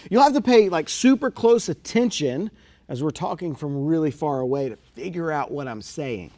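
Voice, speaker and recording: Animated, high-voiced male talk show host. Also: normal speed. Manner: enthusiastic, animated host patter. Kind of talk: comedic talk-show skit.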